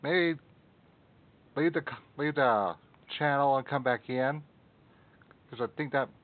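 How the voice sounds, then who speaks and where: A man's voice: mid-range voice, a little slow. Man muttering over a tinny line. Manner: muttering, swears at technical trouble. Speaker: stream host. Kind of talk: casual live stream chat. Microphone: desktop mic. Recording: narrow phone-like sound.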